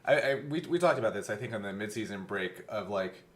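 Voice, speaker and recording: A man's voice: lowish voice, expressive, fast, fairly bright tone. A man co-hosting a casual chat, explaining his thinking in circling, self-correcting phrases. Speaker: podcast co-host. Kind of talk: casual behind-the-scenes chat.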